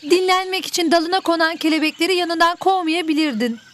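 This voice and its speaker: A woman's voice: very high-pitched, a little fast. A woman voicing a small, earnest, moralizing animal pupil in an educational cartoon. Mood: reproachful.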